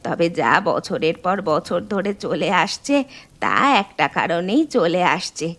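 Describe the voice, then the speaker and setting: A woman's voice: on the low side, sing-song, heavily acted. A woman voicing an elderly Bengali mother-in-law in a cartoon, firm and traditional, fondly chiding and instructing. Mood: gently advising. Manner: unhurried, instructive, gently chiding. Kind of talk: animated moral story voice acting.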